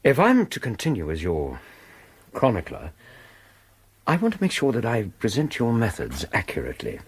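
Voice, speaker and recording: An actor playing an earnest, easily indignant Victorian gentleman and loyal chronicler, formal and reproving, with a British accent in a radio drama. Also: fairly low pitch, huge pitch swings, normal speed, breathy edge, exaggerated voice.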